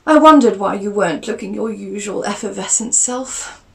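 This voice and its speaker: A woman's voice: mid-range voice, normal speed, vocal fry, a bit bright, distant mic, pitched above the performer's own voice. A woman voicing a genteel aristocratic aunt who is fond and teasing, speaking in a prim, emphatic way and recorded a little off-mic. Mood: concerned.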